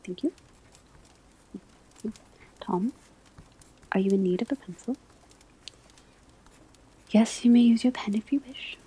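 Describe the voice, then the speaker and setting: A patient professor at a school of witchcraft, played by a woman, lectures in an ASMR roleplay. Her voice is very breathy and whispery, with a soft, dark warmth. She speaks slowly and formally, setting out each point with measured precision. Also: a little high, heavily acted, low-quality recording. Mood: patient, attentive. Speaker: divination teacher in a roleplay.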